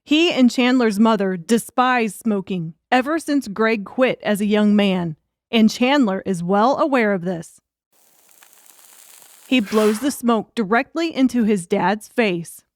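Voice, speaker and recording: A woman's voice: medium pitch, expressive, measured pace, no room sound, clean studio sound. A woman narrating a novel as a scripted audiobook.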